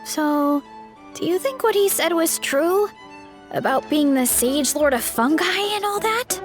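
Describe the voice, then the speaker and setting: An easygoing, earnest young game heroine: high voice, unhurried delivery, music behind. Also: female voice, animated. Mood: curious. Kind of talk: video game voice acting.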